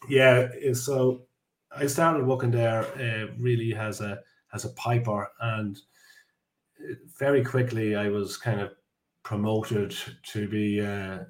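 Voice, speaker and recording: Irish guy in his forties chatting on a podcast, low monotone voice, lots of ums, roomy echo. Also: slow. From Ireland. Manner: chatty, rambling, with many fillers and restarts. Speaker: guest, construction business director. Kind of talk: casual podcast interview. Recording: echoey room.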